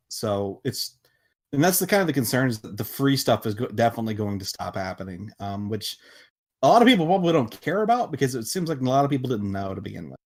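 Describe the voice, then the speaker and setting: A man in a casual podcast discussion, explaining things in a halting, matter-of-fact way. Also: on the low side.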